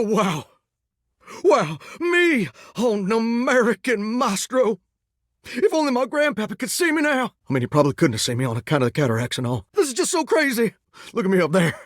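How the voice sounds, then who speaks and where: Earnest southern drawl dating game dude who's a shower, kinda high, super lively, dry close sound. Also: male voice, dry recording. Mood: proud, thrilled. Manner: folksy drawl full of homespun sayings. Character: folksy, earnest, starry-eyed male shower who loves singing. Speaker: voice actor in a dating sim game. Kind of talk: expressive video game character dialogue.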